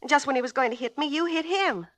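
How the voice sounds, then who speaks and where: A woman's voice: high voice, fast, a bit bright, no echo. An actress playing an indignant, blunt and scornful young woman in a 1950s radio drama. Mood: hurt, scornful. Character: indignant, hard-edged young woman brushing off a brawler.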